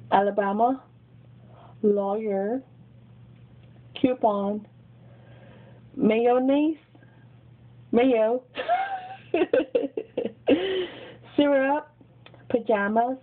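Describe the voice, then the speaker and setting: A giggly young woman with a Mexican American accent, chatting casually into a webcam in a small bedroom. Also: a little high. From Los Angeles, grew up in Texas. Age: young adult. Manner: giggly, chatty, repeats words. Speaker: vlogger. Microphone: webcam built-in mic. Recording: tinny, lo-fi webcam audio.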